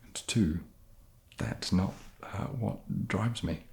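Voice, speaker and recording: A man answering questions in a soft-spoken, casual, laid-back way with dry humour. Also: low voice, slow, vocal fry. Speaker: ASMR video creator answering fan questions.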